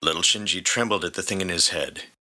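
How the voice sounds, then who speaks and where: A man's voice: low-pitched, a little fast, pushed and bright. A man reading scripted story narration as the narrator. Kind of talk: scripted fan fiction narration.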